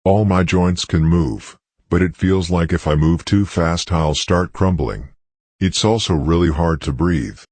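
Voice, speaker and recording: A man's voice: low voice, measured pace, a little mellow, no room sound, clean studio sound. A man voicing a dead young American girl, chatty and eerie, in a horror story. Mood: matter-of-fact, bleak.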